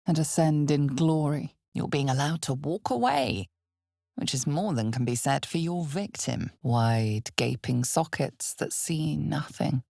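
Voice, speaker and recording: A dark fantasy game narrator speaks low and very slowly, ominous and knowing with a wry edge. Her voice is husky and gravelly, often close to a whisper, and every phrase is placed deliberately. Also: animated, no room sound, heavily acted. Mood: ominous, measured. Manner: slow, deliberate, dramatic storytelling.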